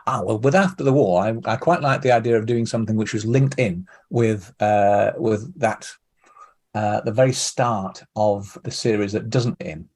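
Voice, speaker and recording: A man's voice: on the low side, normal speed, a little mellow. A man giving a thoughtful, digressive interview in a hesitant, conversational way. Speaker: producer being interviewed.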